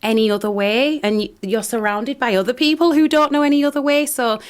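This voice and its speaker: A woman's voice: on the high side, a little fast. A woman with a Northern English accent, a radio studio guest speaking earnestly about her work, often restarting her sentences. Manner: earnest, hesitant with many restarts. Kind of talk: radio interview.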